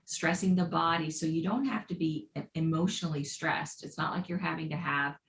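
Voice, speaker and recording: Low-pitched middle-aged woman, lively expert guest, roomy recording with faint noise. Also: fairly quick, creaky. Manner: enthusiastic, explanatory, playful phrases. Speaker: integrative health guest. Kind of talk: podcast interview.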